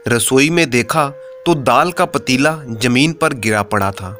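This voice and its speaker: A man's voice: mid-range voice, measured pace, pushed and bright, faint music behind. A man narrating a scripted, humorous moral story as a storyteller.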